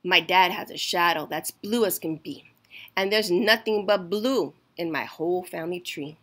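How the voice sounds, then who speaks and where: A woman reading a rhyming children's picture book aloud in a deliberate, expressive storytelling way. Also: on the low side. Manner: slow, deliberate, emphatic storytelling. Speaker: storytime reader.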